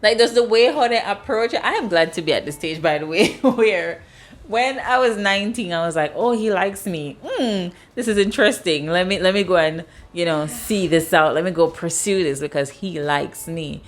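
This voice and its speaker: A Jamaican woman in her thirties chats casually and tells lively stories. She acts out other people's lines in sudden high voices. Her voice sits a little low for a woman. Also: normal speed. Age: 30s. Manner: animated storyteller, acts out dialogue in high voices. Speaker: host. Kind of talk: casual chat.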